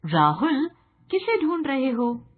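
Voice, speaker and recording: A woman's voice: high voice, big pitch swings, takes it slowly, a little hiss or hum. A woman teacher giving scripted educational narration in a didactic, explanatory manner. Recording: muffled narrow phone-like sound.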